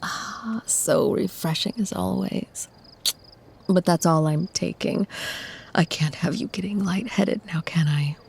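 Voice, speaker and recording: A woman playing a gentle, doting vampire who soothes her mortal lover, breathy and creaky, in an ASMR-style audio drama. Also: fairly low pitch, a little hiss or hum. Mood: tender. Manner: tender, soothing, measured. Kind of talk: ASMR audio roleplay acting.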